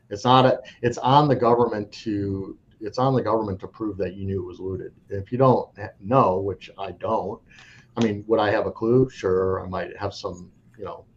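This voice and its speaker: A man speaking as a coin dealer guest in an interview, frank and rambling, often restarting his sentences. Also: on the low side. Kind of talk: casual interview talk. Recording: dull lo-fi audio with faint noise.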